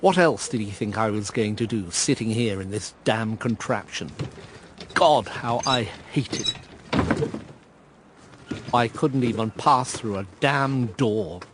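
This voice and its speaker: A man's voice: fairly low pitch, slow, noisy background. An actor playing a wry, articulate, embittered man who narrates his own story in a radio drama. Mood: bitter. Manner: wry, articulate, educated phrasing.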